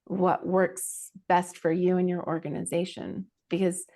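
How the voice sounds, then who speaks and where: A woman's voice: fairly low pitch, little pitch movement, measured pace, warm tone. A woman giving conversational advice as a panel guest and coach, in an earnest, reflective way.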